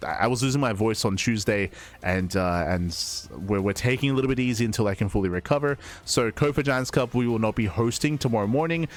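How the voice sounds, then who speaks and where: A man casting esports, winding down a stream in a chatty, rambling way, his voice still recovering after he lost it. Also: on the low side. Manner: chatty, rambling with fillers and restarts. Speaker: esports caster. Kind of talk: casual stream sign-off.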